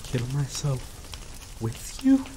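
A young man voicing an obsessive, possessive male lover in an ASMR roleplay, speaking slowly and softly with dark chuckles. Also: mid-range voice. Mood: obsessive, tender. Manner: slow, soft-spoken, dwelling on words with dark chuckles. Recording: steady rain noise behind voice. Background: rain falling.

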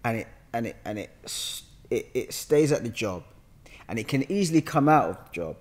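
A man's voice: medium pitch, a little fast. London man in his thirties, joking storyteller. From Hackney, London, England. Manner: joking, slangy, animated storyteller. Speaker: podcast guest, actor. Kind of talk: casual chat, anecdotes. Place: indoor studio room with sofa. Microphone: podcast mic on boom arm.

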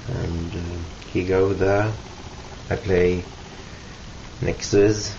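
A young Swedish man with a Swedish accent talks slowly and haltingly into a close webcam, stopping and restarting his sentences. His voice is very deep and flat. The home recording is muffled and lo-fi, with a clear steady hiss. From Sweden. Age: young adult. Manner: hesitant, many ums and restarts. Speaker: vlogger. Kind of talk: casual self-introduction to webcam. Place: small room indoors at home. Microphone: webcam at close range. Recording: dull lo-fi webcam audio with hiss.

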